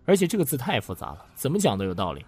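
Husky, animated young office worker. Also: male voice, mid-range voice, very fast, soft background music. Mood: protesting. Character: earnest, curious male office worker, easily incredulous. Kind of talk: Chinese audio drama acting with first-person narration.

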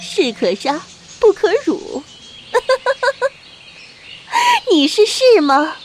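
A woman's voice: very high-pitched, wildly swooping pitch, extremely slow, slight background noise, exaggerated voice. A woman playing a teasing, domineering young martial-arts heroine in a wuxia audio drama, mocking and haughty in manner. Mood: mocking, playful.

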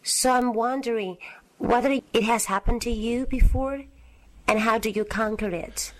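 A woman's voice: on the high side, measured pace, low-quality recording. A woman from China, a university English teacher, leaving a formal, earnest recorded message for a podcast. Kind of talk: recorded listener message, read aloud.

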